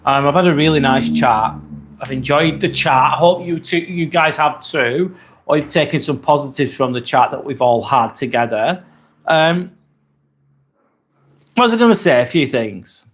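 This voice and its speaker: A man in his forties with a Lancashire accent, hosting a casual live stream, chatting in a relaxed, rambling way with plenty of ums. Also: on the high side, normal speed. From northwest England. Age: 40s. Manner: relaxed, rambling, reads chat comments aloud with many fillers. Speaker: livestream host.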